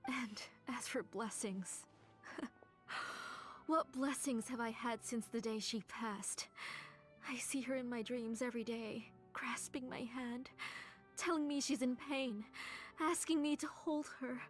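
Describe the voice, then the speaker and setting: A woman voicing a grieving mother who lost her daughter, speaking in halting, whispery, tearful bursts. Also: a little high, fairly even intonation, faint music behind.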